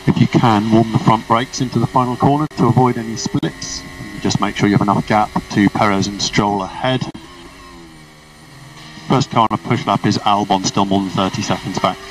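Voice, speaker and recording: A man's voice: lowish voice, steady tone, moderate pace. A man working as a race engineer, giving clipped, procedural instructions over a motorsport team radio. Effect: team radio transmission. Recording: noisy distant radio, car and engine noise.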